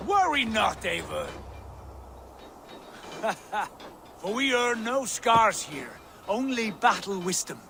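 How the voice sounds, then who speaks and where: A man's voice: sits high, big pitch swings, takes it slowly, creaky, pauses often, background noise, exaggerated voice. A man playing a boisterous Viking warrior in a video game, speaking in grand, declamatory archaic phrases. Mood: amused reassurance. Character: boisterous Viking warrior brother, revelling in eternal battle. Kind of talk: dramatic video game acting. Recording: loud music behind.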